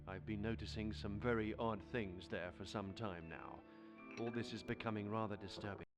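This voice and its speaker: Man acting a grave, deep-voiced gentleman doctor. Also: steady tone, normal speed, music in the background, lightly acted. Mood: grave, explaining. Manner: formal, explanatory. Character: learned, grave gentleman doctor, calm and measured. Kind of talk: scripted video game drama.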